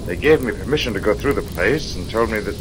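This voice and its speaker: An actor playing a gray-haired, polite and formal psychic researcher in a 1940s radio mystery. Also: male voice, lowish voice, animated, fast talker, close to an everyday voice. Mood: apologetic, courteous. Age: older. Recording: noisy vintage 1940s radio recording.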